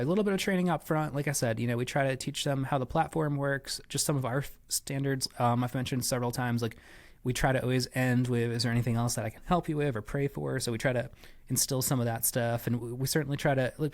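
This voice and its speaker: A man giving conversational interview answers as a podcast guest, explaining things with frequent asides and qualifications. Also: mid-range voice. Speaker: church staff member, podcast guest.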